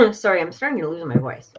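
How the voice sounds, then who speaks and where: A woman's voice: on the low side, a bit bright, husky, highs cut off. A woman hosting a live tarot reading, chatting her way through the cards with lots of "you know". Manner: chatty, explains cards with filler words. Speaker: psychic tarot reader, host.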